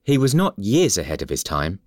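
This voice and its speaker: A man reading a scripted story as narrator, in a measured storytelling manner. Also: fairly low pitch, wildly swooping pitch, darkish tone, husky, no room sound, studio quality.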